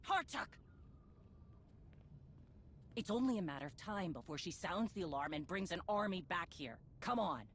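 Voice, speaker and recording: An actress playing a blunt, commanding female bounty hunter in a video game, impatient and vengeful, with a husky voice. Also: lowish voice, expressive, fairly quick, a little hiss or hum, close to an everyday voice. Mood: furious. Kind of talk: video game cutscene acting.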